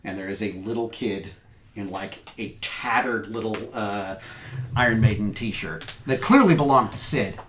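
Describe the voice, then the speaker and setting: A man running a tabletop horror game, narrating casually and informally in a halting, jokey way. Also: on the low side, fairly bright tone. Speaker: game master. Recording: roomy echo, faint noise and clicks.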